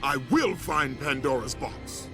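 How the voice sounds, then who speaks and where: A man playing a fierce, vengeful Spartan warrior in a video game, solemn, declamatory and forceful. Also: on the high side, lively intonation, a little slow. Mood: furious, defiant. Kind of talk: dramatic video game acting. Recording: loud dramatic music behind.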